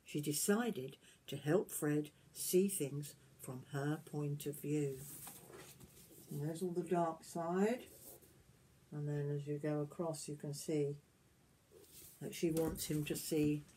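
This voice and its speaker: A woman in her sixties reads a picture book aloud as a granny would to young children, adding gentle questions and asides to them. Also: sits low, fairly even intonation, takes it slowly, a little hiss or hum, low-quality recording. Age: 60s. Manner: gentle questioning, addressing young listeners directly. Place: small wood-panelled garden room, indoors.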